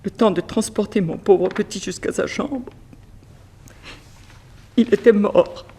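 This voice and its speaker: Dignified, grieving fashion house director. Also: female voice, medium pitch, takes it slowly, mellow, slightly rough. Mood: distressed. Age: middle-aged. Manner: formal, sorrowful, theatrical. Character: bereaved mother, dignified head of a fashion house. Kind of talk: 1960s French radio mystery acting.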